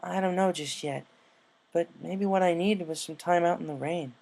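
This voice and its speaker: A man's voice: high-pitched, moderate pace, creaky, darkish tone, voice pushed up. A man voicing a carefree, cheerful young female pegasus pony in a storybook reading, with a cocky, playful manner. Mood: upbeat, thoughtful. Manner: breezy, playful.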